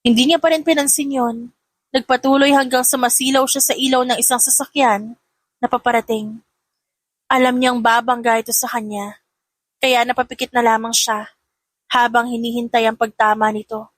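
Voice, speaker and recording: High-voiced woman narrating a dramatic story. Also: level tone, moderate pace, long pauses, dry recording. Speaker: audiobook narrator.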